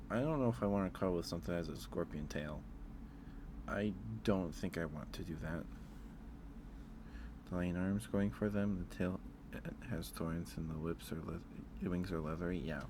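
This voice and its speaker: A soft-spoken man hosting a casual ASMR stream, chatting with viewers and musing aloud in a hesitant way. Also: low voice, normal speed, noisy background.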